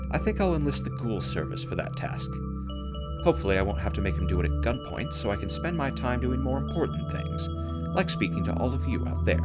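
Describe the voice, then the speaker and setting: A man's voice: mid-range voice, close to an everyday voice. A man playing a pompous, bossy, self-proclaimed emperor hosting a scripted post-apocalyptic radio comedy with grandiose, exasperated commands. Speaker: radio host.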